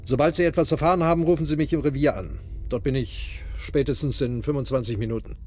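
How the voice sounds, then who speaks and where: An actor playing a steady senior police detective in a German radio crime drama, speaking tersely and with authority as he gives orders. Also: mid-range voice, fast, music in the background, lightly acted. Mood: firm.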